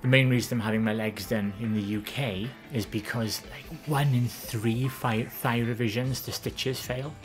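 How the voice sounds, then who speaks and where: A woman with a Welsh accent, chatting casually on a live stream. Her voice is soft and gentle but low, and she rambles with frequent ums and restarts. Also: steady tone, normal speed, hardly any pauses, faint music behind. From Wales, the Valleys. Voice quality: soft, gentle voice with a lower, less feminine tone. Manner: chatty and rambling, with many ums and self-corrections. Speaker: live streamer answering viewer questions.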